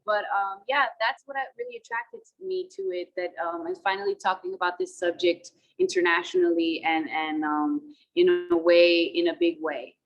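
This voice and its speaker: A woman's voice: on the low side, moderate pace, few pauses, muffled recording, soft background music. A woman in her thirties, from the Dominican Republic, talking casually over a video call about her acting work, mixing Spanish and English with many fillers. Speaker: actress interviewed about a film.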